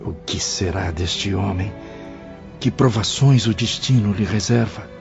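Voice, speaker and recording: Male actor as dread-filled penitent, low expressive voice, dramatic, audio drama, dull recording. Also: a little slow, creaky. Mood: anxious. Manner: dramatic, anxious. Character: dismayed male penitent pupil, full of dread. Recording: dull audio with music behind.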